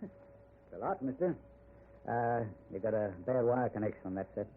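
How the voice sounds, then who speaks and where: Male actor as American service station attendant, mid-pitched, colloquial, hesitant, helpful, 1940s radio drama, tinny broadcast sound. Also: lively intonation, normal speed, natural voice. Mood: obliging. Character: casual, helpful small-town gas station attendant. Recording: tinny, noisy old radio broadcast recording.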